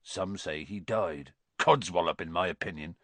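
A man voicing a gruff, big-hearted rustic man in an audiobook, blunt and folksy in manner. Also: low voice, normal speed, fairly bright tone, no echo, voice pushed down. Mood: dismissive. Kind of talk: audiobook character dialogue.

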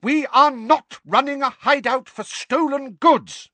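A man's voice: medium pitch, very animated, takes it slowly, a bit bright, breathy edge, no echo, pitched above the performer's own voice, exaggerated voice. A man voicing a stern, bossy middle-aged mother who speaks firmly and scolds, in an audiobook. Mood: furious. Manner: firm, scolding.

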